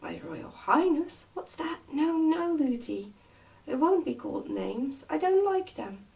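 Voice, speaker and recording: Woman voicing an earnest little princess, higher-pitched. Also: very animated, a little slow, gravelly, darkish tone, far from the mic, full character voice. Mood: curious, insistent. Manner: earnest, childlike, insistent. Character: trusting, outspoken, affectionate little princess girl.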